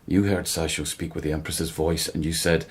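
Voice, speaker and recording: Radio drama: a devoted court policeman, very low and breathy, measured and gently insistent in interrogation. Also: flat delivery, full character voice. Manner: quiet, measured, gently pressing questioner. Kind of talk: literary radio drama acting.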